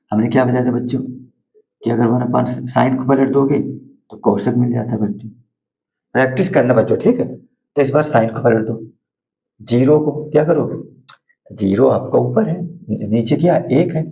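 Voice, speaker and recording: A hoarse man teaching math to school students at a board, repeating steps and asking questions that he answers himself. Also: medium pitch, warm tone, poor audio. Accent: Hindi.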